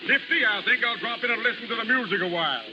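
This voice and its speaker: High-voiced, pompous old-time carnival boss played by a man. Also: rapid-fire, natural voice. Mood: jaunty. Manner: folksy pomposity, hemming and repeating himself. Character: genial, pompous carnival boss known as the Colonel. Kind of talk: 1930s radio drama acting. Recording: muffled, noisy 1930s radio recording.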